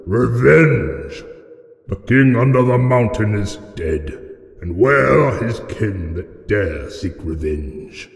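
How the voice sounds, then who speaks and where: A man's voice: on the low side, lively intonation, takes it slowly, creaky, full character voice. A man playing a huge old male dragon, menacing, boastful and cunning, with grandiose, taunting theatrical delivery in a fantasy audio drama. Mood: boastful fury. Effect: added cavernous reverb. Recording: cavernous echo and long reverb effect.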